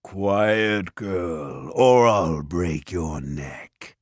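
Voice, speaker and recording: A man voicing a hulking, menacing ogre villain in a storybook, with a deep, booming, growling voice and a commanding manner. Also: lively intonation, extremely slow, no room sound, studio quality, exaggerated voice. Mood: threatening.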